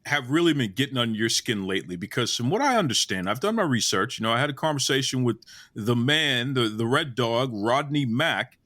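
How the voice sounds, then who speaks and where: A man's voice: mid-range voice, animated, a little fast, sharp tone, no room sound, clean studio sound. A man with a Boston accent hosting a wrestling interview, chatting in a jokey, rambling, self-deprecating way. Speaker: podcast host. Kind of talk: casual interview chat.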